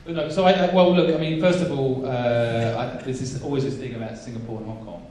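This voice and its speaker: A man's voice: medium pitch, steady tone, brisk, darkish tone. A man speaking bluntly and emphatically as a panelist, often restarting his sentences. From England. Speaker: panelist, angel investor. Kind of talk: panel discussion. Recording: echoey hall, distant mic.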